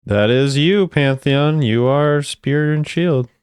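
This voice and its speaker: A man's voice: on the high side, takes it slowly, darkish tone, clean tone, no room sound, clean studio sound. A man giving offhand, casual commentary as a video creator, musing conversationally as he rates things. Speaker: video creator ranking game voice lines.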